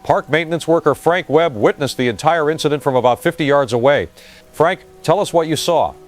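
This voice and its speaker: A man's voice: on the high side, brisk, soft background music, natural voice. A man playing an earnest TV field reporter, giving a formal scripted live report. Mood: earnest, serious.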